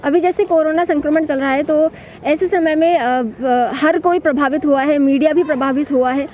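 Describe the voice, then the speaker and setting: A woman's voice: sits high, fairly even intonation, normal speed, darkish tone, slight background noise. A woman reporter asking questions in a street interview outdoors in a park, with long, winding questions full of fillers.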